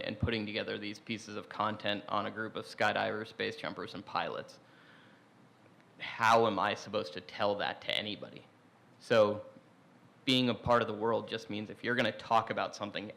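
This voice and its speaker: A young man on a discussion panel, talking in a relaxed, rambling way with many restarts. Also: on the low side, creaky.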